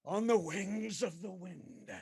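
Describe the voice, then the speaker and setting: A tormented male ghost of a dead miser in a theatrical radio play. The voice is very high and creaky, dragging slowly through mournful declamations. It moans with remorse and stretches out its cries of woe. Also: darkish tone, exaggerated voice. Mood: anguished remorse. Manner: slow, declamatory, mournful, moaning drawn-out cries.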